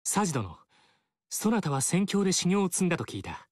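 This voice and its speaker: An earnest, righteous young warlord. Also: male voice, high voice, big pitch swings, moderate pace, darkish tone, no room sound, studio quality. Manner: earnest, resolute and formal. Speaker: game character. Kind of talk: game dialogue acting.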